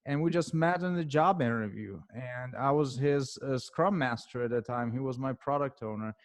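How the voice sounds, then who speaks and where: Guest consultant, male, Ukrainian accent, non-native English, stop-start delivery, mid pitch. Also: dry recording. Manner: halting, many fillers and restarts. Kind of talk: podcast interview answers.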